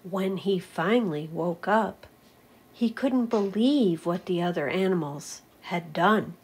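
A woman's voice: fairly low pitch, takes it slowly, husky. A woman narrating a children's storybook aloud, in the manner of a teacher reading to pupils.

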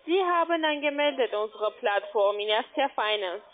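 A woman with a foreign accent, not a native speaker, giving a phone sales pitch as a call centre agent in halting, broken phrasing. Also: animated. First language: not German. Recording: muffled tinny phone line.

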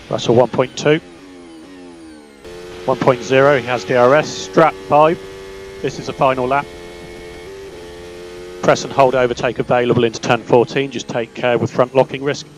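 A man working as a race engineer, giving calm, measured, clipped instructions over team radio. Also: mid-range voice, soft background music. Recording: noisy radio link with car hum. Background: car engine hum.

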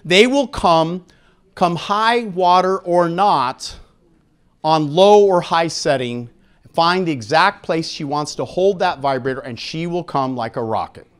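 A man's voice: on the high side, a little slow, smooth. A middle-aged man giving a frank, informal live lecture in a jokey, conversational way, speaking into a handheld microphone. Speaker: conference speaker on sexual health. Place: indoor conference room with seated audience.

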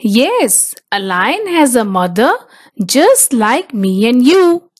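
Female storybook narrator for small children; very slow delivery, lively intonation. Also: fairly high pitch. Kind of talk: children's picture book read-aloud.

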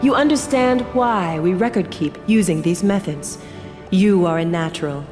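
A woman's voice: fairly low pitch, normal speed, darkish tone, lightly acted. An actress playing a kindly, scholarly lady mentor in a fantasy audio drama, speaking formally and graciously. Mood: approving, measured. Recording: long hall reverb, music behind.

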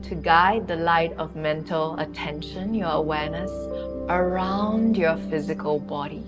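Female meditation guide, deep-pitched and very slow, soothing delivery with spacious pauses. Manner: soothing, unhurried, with long pauses. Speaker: yoga nidra guide.

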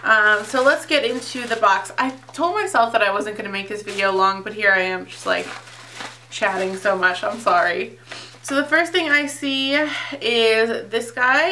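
A woman's voice: fairly high pitch, pushed and bright, far from the mic. A young woman chatting casually and rambling through a beauty unboxing vlog, her voice a little off from getting over being sick. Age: young adult. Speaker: beauty vlogger. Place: indoors, small home filming set.

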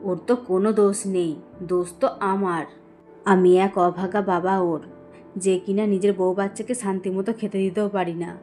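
A humble cartoon husband and father, voiced by a woman, speaks at a middling female pitch. He is earnest, loving and self-blaming, with faint music underneath. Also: flat delivery, a little fast, mellow, natural voice. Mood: sorrowful. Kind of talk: Bengali cartoon voice acting.

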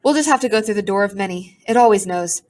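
A woman's voice: mid-range voice, lively intonation, fast talker, darkish tone, natural voice. A woman voicing a melodramatic, self-pitying talking pigeon with a pompous, theatrical manner. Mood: haughty. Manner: theatrical, sighing, haughty complaints.

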